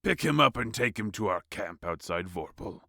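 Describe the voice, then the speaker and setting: A man playing an arrogant, cruel warlord king in an audio fantasy play, gravelly and disdainful. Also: on the low side, lively intonation, bright, forceful tone, no echo, clean studio sound, heavily acted. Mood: cold, commanding. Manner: slow, disdainful.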